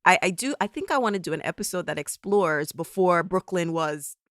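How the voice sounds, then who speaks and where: Low-voiced woman podcast host. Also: animated, a little fast, fairly bright tone. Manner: chatty, thinking aloud with fillers. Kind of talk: podcast interview.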